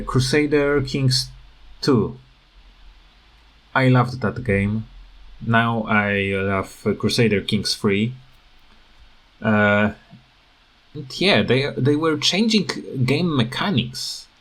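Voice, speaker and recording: A tired man who is not a native English speaker, giving a casual unscripted rant in a halting, rambling way that repeats words. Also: mid-range voice, a bit bright, slightly rough. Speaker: gaming video creator.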